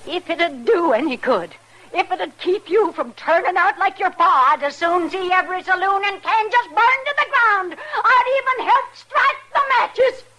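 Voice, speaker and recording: A woman's voice: very high voice, normal speed, bright, forceful tone, low-quality recording. A woman playing a stern, strong-willed frontier mother in a 1950s radio drama, firm and scolding. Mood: fierce. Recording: old radio drama with crowd noise.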